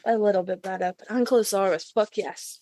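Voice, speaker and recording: A woman's voice: mid-range voice, fairly even intonation, brisk, warm tone, no room sound. A woman bantering casually as a podcast co-host, emphatic, geeky and enthusiastic.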